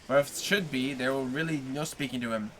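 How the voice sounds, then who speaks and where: A man's voice: medium pitch, brisk, slight background noise, natural voice, low-quality recording. A man voicing an envious, gossipy male neighbor who blusters with indignation about a rival. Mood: indignant.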